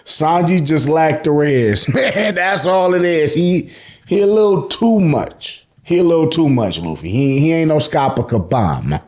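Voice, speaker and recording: An American man giving a casual, joking recap as a channel host, full of slang and swearing and acting out dialogue. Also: high voice. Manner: slangy, profane, joking, animated. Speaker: host of an anime and manga review channel.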